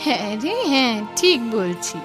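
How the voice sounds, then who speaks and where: A woman voicing a patient, caring village mother in a cartoon, with a soothing, drawn-out delivery. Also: on the high side, sing-song, music in the background. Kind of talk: Bengali cartoon dubbing.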